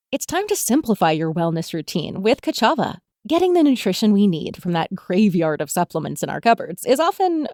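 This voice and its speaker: A woman's voice: medium pitch, warm tone, dry recording, studio quality. A woman delivering a scripted advertisement in a polished, persuasive manner. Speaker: ad reader.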